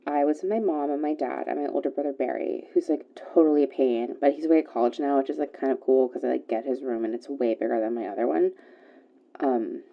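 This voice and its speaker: Radio caller, played by an actress: chatty guileless young girl, rambling casual storytelling, notably low voice, muffled lo-fi line. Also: steady tone, fast. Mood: chatty, earnest. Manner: rambling, filler-heavy youthful talk.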